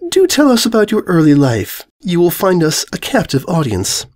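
A man's voice: high voice, wildly swooping pitch, moderate pace, darkish tone, no room sound, clean studio sound, voice pushed up. A man voicing a refined, haughty female pony with prim, elaborate, sarcastic politeness in a fanfiction story reading. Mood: coy, probing.

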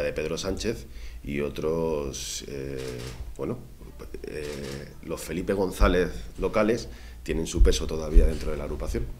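A man in his forties with a Madrid Spanish accent, answering press questions in a relaxed, conversational way at a table mic. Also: extremely low pitch, slight background noise. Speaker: municipal party spokesperson. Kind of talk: press conference chat. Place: small room in front of a party banner.

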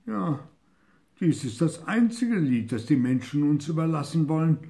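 A man's voice: fairly high pitch, sing-song, normal speed, gravelly, mellow, voice pushed up. An older man voicing a wise old owl, earnest and resigned, in a storybook read-aloud.

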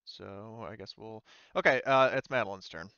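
A man running an online tabletop game as game master, casual and halting as he thinks aloud. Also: lowish voice, pushed and bright, dry recording, dull audio. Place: indoors, online voice call.

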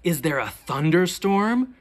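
A teacher reading a children's picture book aloud, with expressive, deliberate questions pitched to young children. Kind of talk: children's storybook read-aloud.

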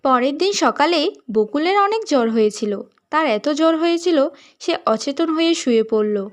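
A woman's voice: sits high, expressive, moderate pace. A woman narrating a fairy tale as a scripted story.

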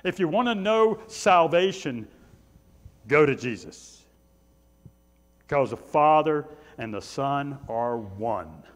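A man's voice: a little high, expressive, takes it slowly, pauses often. A man in his sixties preaching a sermon as a pastor, emphatic, with short repeated phrases and conversational asides. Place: small church sanctuary, indoors. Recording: long reverb of a church hall.

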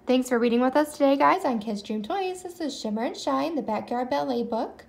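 A woman reading a children's storybook aloud as narrator and host, in an animated style. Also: high-pitched, brisk, creaky. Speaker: storybook reader, channel host.

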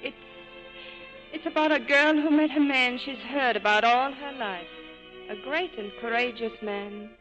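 Tormented young lover, highish voice, halting and earnest, vintage radio play with faint music beneath. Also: female voice, fairly bright tone, muffled recording. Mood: wistful. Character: earnest, anguished young woman in love. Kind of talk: 1940s radio drama acting.

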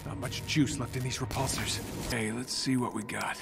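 Actor as a cocky wisecracking hero, music behind. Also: male voice, medium pitch, steady tone, moderate pace, gravelly, lightly acted. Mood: dry, curious. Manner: sardonic, muttering to himself. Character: cocky, wisecracking billionaire hero in a powered suit. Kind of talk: video game cutscene acting. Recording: voice over dramatic score. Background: game music and effects.

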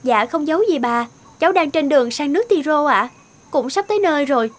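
A woman's voice: high-pitched, lively intonation, a little fast. A woman voicing a brave, earnest young princess in a fairy-tale cartoon, speaking in a formal, resolute way. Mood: determined.

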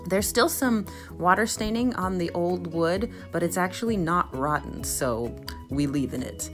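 A young woman with a low voice talks through her project in a casual vlog voice-over. She sounds bubbly and easily excited. Soft music plays faintly behind her. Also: measured pace. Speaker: vlogger.

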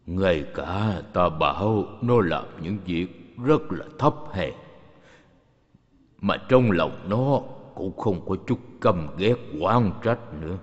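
A man playing a dying old rich father in a dubbed cartoon, solemn, tender and deliberate. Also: low-pitched, a little mellow. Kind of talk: dubbed animation acting. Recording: muffled audio with long reverb.

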